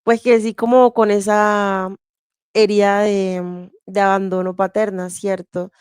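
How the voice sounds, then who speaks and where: A woman from Venezuela telling her childhood story in an interview, slow and even, with soft laughs and fillers. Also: medium pitch, clean tone, no room sound, clean studio sound. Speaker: interview guest sharing testimony. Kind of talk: candid personal testimony.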